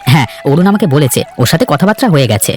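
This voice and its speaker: A man's voice: a little high, big pitch swings, rapid-fire. A man voicing an earnest, plain-spoken young villager in a fairy-tale cartoon, with a slightly chiding manner. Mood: earnest, friendly. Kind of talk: Bengali cartoon voice acting. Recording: background music under the voice.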